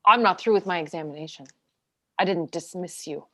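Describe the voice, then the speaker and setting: A woman's voice: on the low side, brisk, a bit bright. A woman playing a dogged military defense lawyer in a dramatic script reading, questioning a witness in a pointed, insistent way. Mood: defiant.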